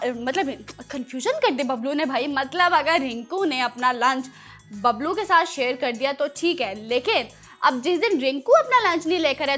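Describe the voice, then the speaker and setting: A woman hosting a children's show, chatting in an animated way with playful scolding for her young audience. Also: high voice.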